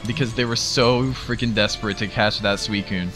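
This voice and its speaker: A man co-hosting a gaming video in casual, teasing banter with excitable interjections. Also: on the low side, normal speed. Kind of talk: casual joking gameplay banter. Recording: game music behind the voice. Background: video game music.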